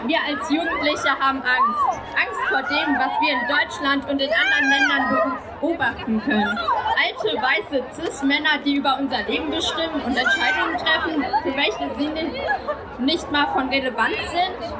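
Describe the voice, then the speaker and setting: A young woman with a high voice delivers a prepared rally speech as a youth group leader. Heavy noise and a loud crowd surround her outdoors. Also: normal speed. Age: young adult.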